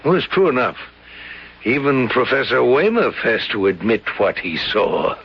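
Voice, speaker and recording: An actor playing a genial, courtly old colonel who loves spinning legends in a radio drama. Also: male voice, medium pitch, very animated, creaky. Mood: earnest. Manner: unhurried, courtly, ominous storyteller. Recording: dull, noisy old radio broadcast.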